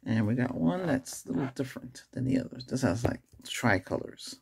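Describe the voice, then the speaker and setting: A middle-aged man doing a soft-spoken ASMR doctor roleplay, murmuring unhurried questions close to the mic in a creaky, breathy voice. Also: mid-range voice, animated. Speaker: ASMR performer playing an ER doctor examining a patient. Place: small indoor setup with cloth backdrop.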